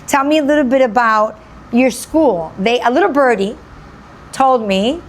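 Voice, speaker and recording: Effusive woman host, a bit high. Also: expressive, unhurried, a little hiss or hum. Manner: effusive, repeats phrases for emphasis. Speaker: radio show host. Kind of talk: enthusiastic interview.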